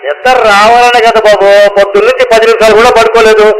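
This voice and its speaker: Man acting a high-voiced, devoted household servant. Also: little pitch movement, fast, over a noisy crowd, close to an everyday voice, poor audio. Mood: coaxing. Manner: coaxing, deferential, rustic colloquial talk. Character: devoted, simple male servant, coaxing and fussing. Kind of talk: radio play acting.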